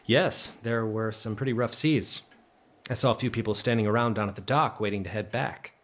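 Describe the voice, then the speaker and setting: An actor playing a troubled, bookish, melancholy man in an audio drama, speaking and narrating in a halting, introspective way. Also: lowish voice, a bit bright, close to an everyday voice. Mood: polite, weary. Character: troubled, bookish, melancholy man revisiting childhood holiday guesthouse.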